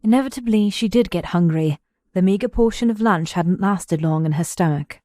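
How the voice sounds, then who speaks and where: A woman's voice: on the low side, normal speed, dark tone, dry recording, studio quality. A woman narrator reading scripted story narration for an audio drama.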